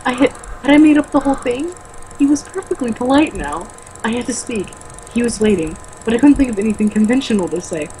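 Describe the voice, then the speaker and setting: A woman's voice: fairly high pitch, animated, brisk, warm tone, slight background noise, rough recording. A woman reading a book aloud as a co-host, mocking it with sarcastic, exasperated comments.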